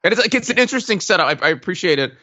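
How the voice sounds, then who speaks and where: A man co-hosting a podcast, explaining things casually in a halting way, repeating words as he forms thoughts. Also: sits high, lively intonation, sharp tone, no room sound, dull audio. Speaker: podcast co-host.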